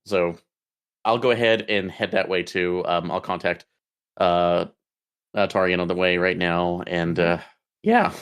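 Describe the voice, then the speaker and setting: A man playing a tabletop role-playing game, speaking casually in character in a halting, tentative way. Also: deep voice, fairly even intonation, fairly bright tone, clear, resonant tone.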